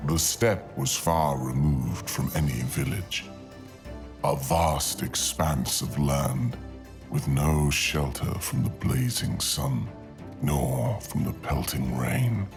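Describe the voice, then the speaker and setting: Very deep, gravelly, slow male storyteller. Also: animated, music in the background. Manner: dramatic, deliberate storytelling with long pauses. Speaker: audio storyteller, narrator. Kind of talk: scripted fantasy narration, ASMR audio.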